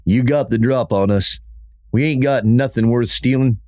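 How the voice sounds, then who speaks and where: A man plays a ranch foreman in an American radio western. His voice is of middling pitch. He is wary, plainspoken and stands his ground. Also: moderate pace, a little mellow, lightly acted. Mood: wary, defiant. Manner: defiant, plainspoken.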